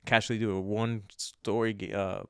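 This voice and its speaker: A man's voice: lowish voice, flat delivery, normal speed, gravelly, fairly bright tone, smooth, dry recording, studio quality. A man co-hosting a podcast in casual, rambling chat. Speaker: podcast co-host. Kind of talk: casual chat about personal goals and video games.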